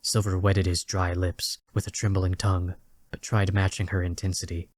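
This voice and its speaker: A man narrating a scripted dramatic story in a measured, literary storytelling manner. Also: sits low, little pitch movement, a little mellow, no echo, clean studio sound.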